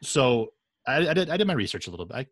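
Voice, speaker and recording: A man hosting a podcast in casual conversation, feeling his way haltingly into each question. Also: medium pitch, big pitch swings, a bit bright, breathy edge, no room sound, studio quality. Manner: halting, circles around questions. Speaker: podcast host, interviewer.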